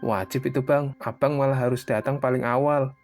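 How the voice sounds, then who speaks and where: Casting: man voicing grateful young working guy, medium pitch, casual yet respectful, cartoon dialogue with music behind. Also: fairly even intonation, brisk, darkish tone, natural voice. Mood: earnest, cheerful. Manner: casual, deferential, earnest. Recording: voice over prominent background music.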